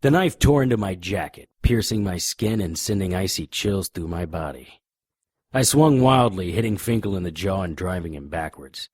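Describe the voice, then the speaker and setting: A man's voice: low voice, animated, normal speed, darkish tone, no room sound, studio quality. A man playing a tough, sardonic private investigator in a noir radio drama, both narrating and speaking in scenes. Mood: grim narration. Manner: hard-boiled, sardonic. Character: tough, defiant, wry male private investigator. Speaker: lead actor and narrator.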